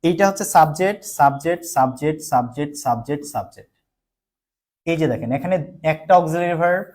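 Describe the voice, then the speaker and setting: Male grammar tutor, Bangladeshi accent, somewhat high-pitched, explains in repeated small steps and often checks understanding. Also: a little mellow. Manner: repetitive step-by-step explaining with frequent check questions; mixes in English terms. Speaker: English grammar teacher. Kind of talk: live online grammar lesson.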